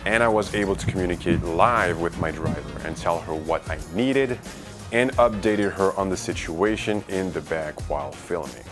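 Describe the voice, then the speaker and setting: Low-pitched Frenchman narrating a review vlog. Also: normal speed. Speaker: video creator and reviewer. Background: background music.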